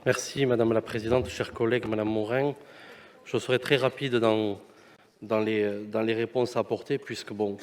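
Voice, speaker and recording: A man speaking as a councillor in a formal meeting, replying in a measured, conciliatory and somewhat hesitant way. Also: fairly low pitch. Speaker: elected metropolitan councillor responding.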